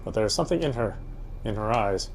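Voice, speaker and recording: A man's voice: on the low side, expressive, fairly quick, gravelly, soft background music. A man reading a horror visual novel aloud as first-person narrator, with a dramatic, tense delivery.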